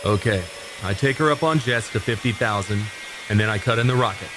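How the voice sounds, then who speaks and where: A man's voice: fairly low pitch, a little fast, noisy background, natural voice. A man playing an easygoing, wisecracking test pilot with a casual, wry delivery in a retro sci-fi radio play. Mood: casual assurance.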